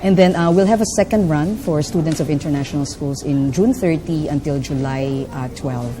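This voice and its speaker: A woman with a Filipino accent and a low, deep voice, talking as a coach in an interview. She explains fluently, with plenty of fillers and a habitual "no?" at the end of her points. There is audible background noise around her voice. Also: moderate pace. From Philippines. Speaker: life coach and trainer. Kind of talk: interview answers, mixing English and Filipino.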